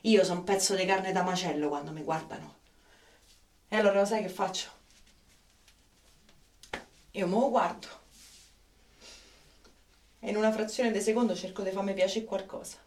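A woman's voice: medium pitch, darkish tone, natural voice. Weary, embittered Roman woman, played by an actress, roomy sound. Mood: afraid, bitter. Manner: colloquial, halting. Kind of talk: radio drama acting. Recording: roomy, distant, noisy microphone.

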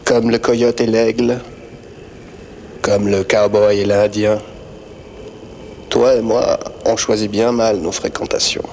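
Slow-spoken Native storyteller played by a man, noisy ambience. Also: lowish voice, little pitch movement, darkish tone. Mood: solemn storytelling. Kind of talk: French western audio drama, fable-like storytelling.

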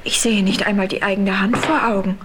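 A woman's voice: medium pitch, steady tone, fairly quick, close to an everyday voice. An actress playing a curious, girlish teenage princess full of theatrical wonder in a fairy-tale radio play on old vinyl. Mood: uneasy, excited. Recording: noisy old vinyl record.

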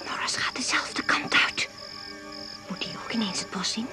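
An actress playing an alert, anxious doctor in a radio thriller, urgent and questioning. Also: female voice, normal speed, whispered, over music, close to an everyday voice. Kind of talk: radio drama acting.

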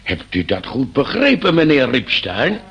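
An actor playing a stern, condescending landlord in a radio play, lecturing with pompous mock courtesy. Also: male voice, mid-range voice, wildly swooping pitch, unhurried, husky, lo-fi sound, soft background music, heavily acted. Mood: stern, indignant. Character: stern, condescending landlord scolding his eccentric tenant.

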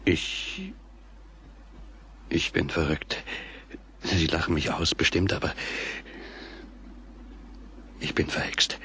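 An actor playing a drunken, bewitched bridegroom in a German radio play, husky and halting, theatrical and agitated. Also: a bass voice, pushed and bright, slight background noise, heavily acted. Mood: haunted, confessing. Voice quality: husky, gravelly. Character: drunken, bewitched bridegroom, mocking then desperately frightened.

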